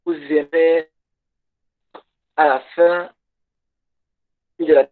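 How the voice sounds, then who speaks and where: A man whose first language is English, giving a workshop talk in halting French over a video call and pausing to search for words. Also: fairly high pitch, fairly even intonation. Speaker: conference speaker. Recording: tinny phone-like call audio.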